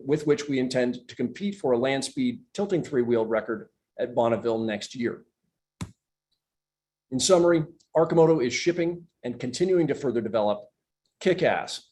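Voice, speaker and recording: A man's voice: medium pitch, a little mellow. A man speaking as a company executive on an earnings call, reading a prepared presentation with upbeat, promotional emphasis. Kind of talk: scripted corporate presentation.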